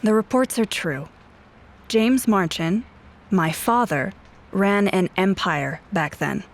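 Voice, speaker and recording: An actress playing a guarded, haunted police officer in a scripted audio drama, speaking in terse, brooding, deliberate phrases. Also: female voice, medium pitch. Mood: bitter, confessional. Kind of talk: scripted audio drama acting with first-person narration. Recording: voice over prominent music bed. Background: dramatic music.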